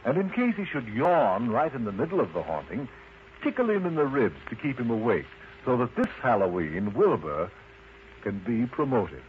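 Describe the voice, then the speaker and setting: A man narrating a children's story in an expressive, unhurried manner, addressing the listener directly. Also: medium pitch. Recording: muffled lo-fi recording with loud noise.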